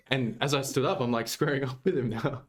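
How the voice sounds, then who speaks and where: A young man, a podcast guest, telling school stories in casual chat and laughing often. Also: mid-range voice.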